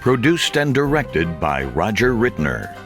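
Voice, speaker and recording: Low, slow male promo announcer. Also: very animated. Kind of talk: dramatic audiobook promo announcement. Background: music score.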